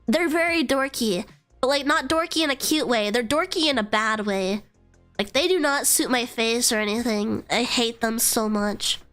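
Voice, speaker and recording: High, nasal young woman chatting on stream. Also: normal speed, fairly bright tone, faint music behind. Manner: chatty, swears freely, trails into fillers. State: congested from a cold. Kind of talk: casual rambling stream chat.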